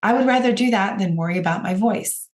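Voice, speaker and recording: A woman with a raspy voice, hoarse from a cold, hosting a podcast and giving direct, encouraging coaching talk to her listeners. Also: mid-range voice, a little fast. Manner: encouraging, direct, addresses listeners as you guys. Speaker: podcast host and business coach.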